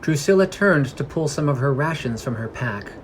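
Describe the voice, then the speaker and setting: A man's voice: fairly high pitch, animated, moderate pace, a little hiss or hum. A man reading scripted narration for a fantasy adventure story. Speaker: narrator of a fantasy fiction podcast.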